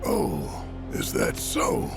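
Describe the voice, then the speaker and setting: An actor playing a gruff, big-hearted tough man with a gravelly voice and folksy, colloquial speech. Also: extremely slow. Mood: warm, playful. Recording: loud music over the voice.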